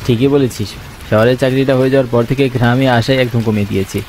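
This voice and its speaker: An earnest young sceptic in a horror cartoon, played by a man. He speaks conversationally and questioningly at a middle pitch. Rain and thunder are noisy behind him. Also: steady tone, fast, close to an everyday voice. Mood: casual. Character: sceptical young city-working man visiting his home village.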